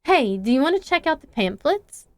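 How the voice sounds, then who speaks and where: A woman voicing a poised, friendly teenage club president who speaks calmly and a little teasingly. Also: high voice, normal speed, no echo, studio quality, pitched above the performer's own voice. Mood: friendly, knowing.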